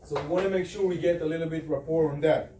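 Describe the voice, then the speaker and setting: A man's voice: fairly high pitch, fast talker. A man in his forties with an Israeli accent, coaching a sales team in a small office, pacing and gesturing as he talks. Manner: animated, talks with his hands while pacing. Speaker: sales trainer. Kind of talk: sales training session. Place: small office room with whiteboard. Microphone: far camera. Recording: echoey room from far off, faint hiss.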